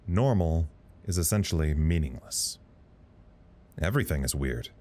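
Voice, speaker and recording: Deep, mellow, musing man acting in audio drama. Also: animated, normal speed. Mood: pensive. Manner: musing, dry, wry. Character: male staffer of a trapped research site, wryly philosophical. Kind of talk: audio drama log monologue.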